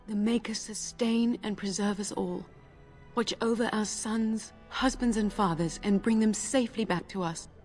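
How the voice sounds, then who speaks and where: A woman's voice: medium pitch, fairly even intonation, moderate pace, a little mellow, soft background music, natural voice. A woman playing a dry-witted, scolding young noble wife and mother in a video game. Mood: prayerful.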